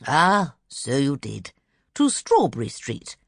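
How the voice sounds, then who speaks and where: A woman's voice: very deep voice, wildly swooping pitch, takes it slowly, creaky, a little hiss or hum, full character voice. Woman as a vague, muddled old professor. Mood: mildly bewildered. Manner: vague, hesitant, meandering. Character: elderly absent-minded male professor, mild and muddled. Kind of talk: audiobook character voice for children's story.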